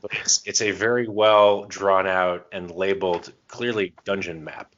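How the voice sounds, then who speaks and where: A man's voice: low-pitched, steady tone, a little slow, a bit bright. A man hosting a podcast in casual, informal conversation, prompting his co-host as he goes. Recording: dull, lo-fi voice recording.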